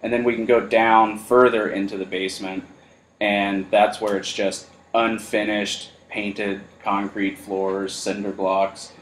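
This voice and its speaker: Podcast host guy, lowish voice, jokey and self-deprecating, echoey room. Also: little pitch movement, measured pace, fairly bright tone, slight background noise. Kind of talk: casual chat. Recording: roomy echo.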